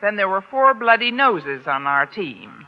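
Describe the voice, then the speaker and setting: A woman's voice: medium pitch, sing-song, measured pace. An American actress playing a wry, sardonic single woman teacher with dry wisecracking delivery in an old radio sitcom. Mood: dry banter. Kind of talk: 1940s-50s radio sitcom acting. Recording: dull, noisy old radio recording.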